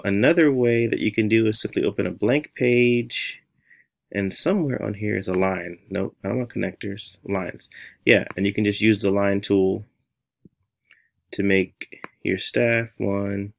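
Man, American accent, 30s, low creaky voice, casual tutorial, playful, thinking aloud. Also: a little slow. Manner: animated, playful, talks to himself. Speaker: music teacher and tutorial host.

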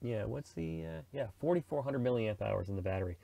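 A man's voice: mid-range voice, expressive, brisk, gravelly, mellow, no room sound. A middle-aged man giving a casual, rambling product review and how-to talk, in an informal conversational way. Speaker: radio reviewer and presenter.

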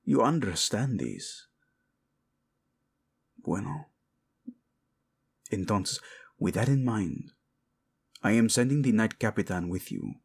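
A man's voice: lowish voice, sing-song, takes it slowly, long pauses, a little mellow, exaggerated voice. A man playing a possessive, devoted prince in a romantic audio roleplay, murmuring formal words with Spanish endearments to his wife. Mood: grave, commanding. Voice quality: husky, gravelly, breathy in places.

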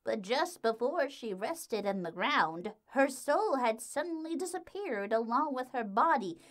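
A woman voicing a gentle, solemn elderly female pony in an amateur audio drama. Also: on the high side, expressive, a little slow.

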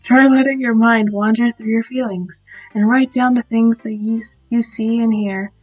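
A woman's voice: a little high, steady tone, faint music behind, natural voice, low-quality recording. A woman reading as a shy bookish girl. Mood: timid. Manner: soft, halting reading with slips. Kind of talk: reading visual novel lines aloud.

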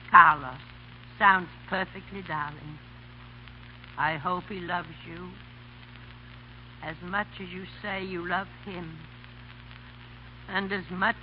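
An actress playing a poor, emotional old woman apple peddler in a 1930s radio drama, with plaintive theatrical delivery. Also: on the low side. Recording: muffled, noisy 1930s radio recording with hum.

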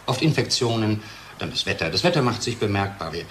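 An actor playing a defensive, world-weary research scientist in German-style radio drama dialogue. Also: male voice, lowish voice, big pitch swings, a little fast, fairly bright tone, a little hiss or hum. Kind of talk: dialogue radio-play acting.

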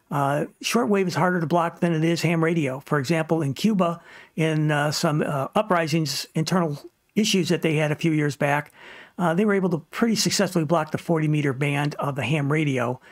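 A man narrating an informal instructional slide presentation in a plain-spoken, explanatory way, giving emphatic advice. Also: fairly high pitch. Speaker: presenter of a ham radio tutorial channel.

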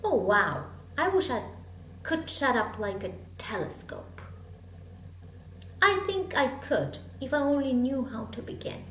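A woman's voice: high voice, very animated, unhurried, fairly bright tone, full character voice. A woman voicing a curious, chatty little storybook girl who muses earnestly to herself. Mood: musing. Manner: wondering, earnest self-talk. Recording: echoey room, hum and background noise.

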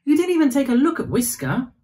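A man's voice: very high voice, very animated, fast, mellow, pitched above the performer's own voice. A man voicing an earnest little girl, anxious yet polite, in a storybook reading. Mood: worried.

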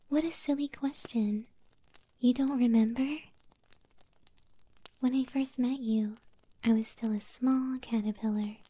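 A woman's voice: fairly high pitch, no room sound, poor audio. Woman as whispering, doting yandere moth girlfriend. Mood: tender, obsessive. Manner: soft breathy whispering, sweet and cooing. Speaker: ASMR roleplay performer. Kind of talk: whispered ASMR roleplay. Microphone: close microphone.

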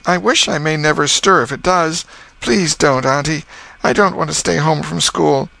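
A man voicing a whiny, mischievous young boy in a storybook, pleading with drawn-out, folksy speech. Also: a little high, vocal fry, sharp tone, dry recording, voice pushed up.

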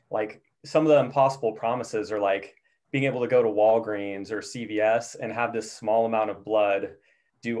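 A fairly low-voiced man speaking up in class, hesitant and unsure, with plenty of fillers as he works out his points. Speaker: student. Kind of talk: class discussion.

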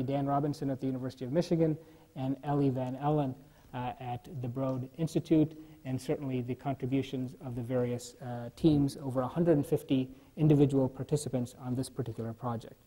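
A man giving a keynote at a scientific conference from a lectern, speaking in a measured way with frequent fillers. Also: mid-range voice, steady tone, dark tone, poor audio. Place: large conference hall.

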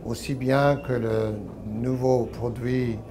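A man in his sixties speaking non-native French with a foreign accent, giving a company update in an interview in a halting, word-searching way. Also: medium pitch, steady tone, a crowd in the background. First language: not French. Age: 60s. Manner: halting, word-searching delivery with frequent hesitations. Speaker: company president and owner being interviewed. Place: small exhibition stand room at trade fair. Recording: clear background noise of trade show.

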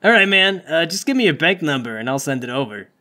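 A man's voice: fairly high pitch, big pitch swings, fast talker, pushed and bright. A man voicing a laid-back, cocky cyborg vigilante with slangy bro talk in a comedic tabletop game. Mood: breezy, cocky.

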